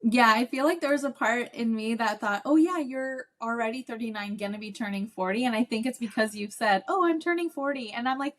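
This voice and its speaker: A woman in her mid-thirties hosting a podcast, chatting in a lively way and laughing easily. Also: on the high side, fairly quick, few pauses. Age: 30s. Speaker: podcast host. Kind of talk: casual chat.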